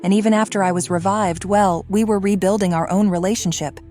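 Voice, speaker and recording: Woman acting as a regretful protective mom, measured middle voice, faint music, audio drama. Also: mid-range voice, steady tone, mellow, natural voice. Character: regretful, fiercely protective mother of an adult daughter.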